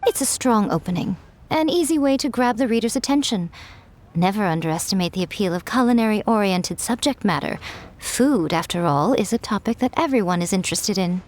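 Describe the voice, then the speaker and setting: A woman's voice: mid-range voice, lively intonation, fairly quick, darkish tone, lightly acted. A woman voicing a sly, confident kitsune publisher in an anime game, poised, teasing and theatrical. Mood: amused, approving.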